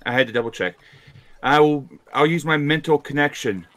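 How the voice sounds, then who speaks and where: A man chatting casually as a player in a tabletop game, deliberately explaining what he does. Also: on the high side, fairly bright tone.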